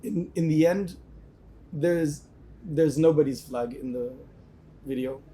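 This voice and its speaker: Man, band member, interview, thoughtful, restarts often, very mellow, slightly high. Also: slow. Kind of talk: casual interview answers.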